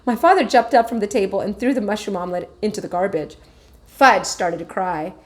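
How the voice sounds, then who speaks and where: A woman teacher reading a children's chapter book aloud with expressive, animated storytelling. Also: medium pitch, brisk.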